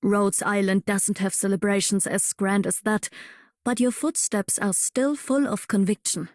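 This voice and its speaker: A woman's voice: mid-range voice, fairly even intonation, a little slow, darkish tone, no echo, clean studio sound, close to an everyday voice. An actress voicing a dignified, composed courtly woman in a video game, formal and poised. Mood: poised, admiring. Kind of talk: video game character voice acting.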